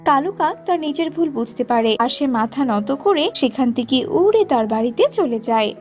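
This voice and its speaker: A woman's voice: high voice, lively intonation, fairly quick, over music. A woman narrating a cartoon tale as a scripted storyteller.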